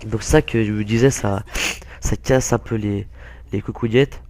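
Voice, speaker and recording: A young man with a hoarse, blocked-nose voice from a cold, giving halting, casual gameplay commentary full of fillers. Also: lowish voice, steady tone, darkish tone, slight background noise. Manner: halting, many fillers and restarts. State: hoarse, stuffy-nosed with a cold. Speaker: gaming YouTuber.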